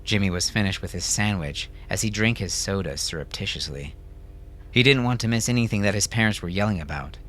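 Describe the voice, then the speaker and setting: Low-pitched male story narrator. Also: brisk, a bit bright. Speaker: audiobook narrator.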